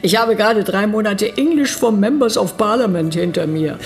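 A woman's voice: medium pitch, normal speed, soft background music, lightly acted. A woman playing a sceptical, reluctant politician who resists dryly and hesitantly in a conversational radio drama.

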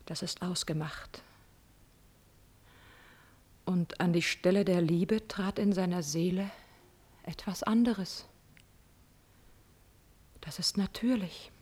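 An actress playing a proud, spurned countess in a classical radio drama, wounded, bitter and insistent, theatrically moving between exclamations and whispers. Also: female voice, fairly low pitch, slow pace, pauses often, a little mellow, husky. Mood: bitter brooding.

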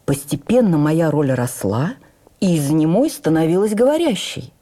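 A woman playing a stage actress recalling her youth in a measured, expressive radio memoir reading. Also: low-pitched. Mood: reflective, nostalgic. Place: radio studio.

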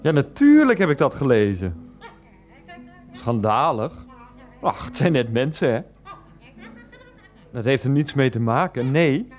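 An actor playing a pedantic, wordy middle-aged teacher in a radio play. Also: male voice, mid-range voice, sing-song, a little slow, pauses often, darkish tone, soft background music. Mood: indignant, argumentative. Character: pedantic, exasperated thirty-nine-year-old unemployed teacher, henpecked son.

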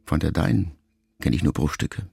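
A man acting a brooding son who addresses his dying mother in a reflective, measured radio-drama monologue. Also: a bass voice, creaky, warm tone, exaggerated voice. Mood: pensive, restrained. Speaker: radio play actor. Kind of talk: intimate first-person literary monologue in a radio drama.

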